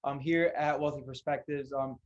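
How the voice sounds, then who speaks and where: A man's voice: medium pitch, steady tone, moderate pace, lo-fi sound. A man hosting an interview podcast in an earnest, slangy way. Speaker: podcast host.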